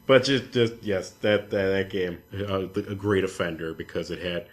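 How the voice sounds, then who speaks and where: A man's voice: sits low, moderate pace. A young man co-hosting a podcast in casual gaming chat, sardonic and prone to profane rants. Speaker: podcast co-host.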